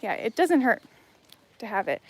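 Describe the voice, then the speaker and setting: Chatty woman, fairly high voice. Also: big pitch swings, moderate pace, breathy edge. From Hawaii. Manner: chatty, rambling, many "like" fillers and restarts. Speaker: livestream host reading chat. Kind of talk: casual livestream chat while walking. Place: outdoors on a jungle walk.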